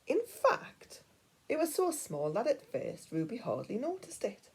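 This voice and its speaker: Slow, animated woman reading a children's story. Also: on the high side. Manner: dramatic storytelling, dropping to hushed breathy tones. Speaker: teacher reading aloud. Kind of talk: expressive children's picture-book reading.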